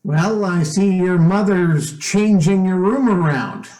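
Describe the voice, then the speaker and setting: An actor playing a shrewd, outwardly genial stepfather who probes with quiet menace in an old-time radio suspense drama. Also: high voice, reverberant. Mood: genial, probing. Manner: deliberate, probing, hesitant phrasing.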